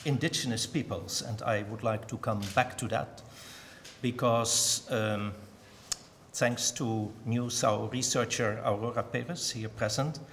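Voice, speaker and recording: An older man from the Netherlands giving a measured, thoughtful talk at a symposium, with frequent pauses and ums. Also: mid-range voice, fairly even intonation, fairly bright tone, a little hiss or hum. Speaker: symposium speaker. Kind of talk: academic conference talk. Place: museum lecture hall. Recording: long hall reverb.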